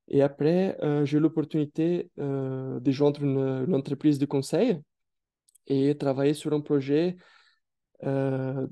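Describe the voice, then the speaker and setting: Man, thirties, very mellow dark tone, slightly high pitch, halting with many fillers, video call. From Brazil. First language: Portuguese. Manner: hesitant, many fillers and restarts. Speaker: guest speaker. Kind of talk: career testimony on a webinar.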